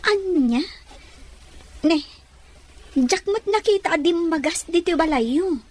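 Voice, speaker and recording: High-voiced young Ilocano woman played by an actress. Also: lively intonation, slow pace, a little mellow, full character voice. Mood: curious. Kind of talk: dramatised audio drama acting.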